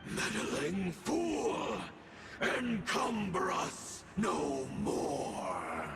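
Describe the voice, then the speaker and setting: A man voicing a haughty, wrathful monster villain in a video game, theatrical and menacing, shouting. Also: high-pitched, huge pitch swings, extremely slow, vocal fry, heavily acted. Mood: furious. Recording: loud noisy effects behind voice.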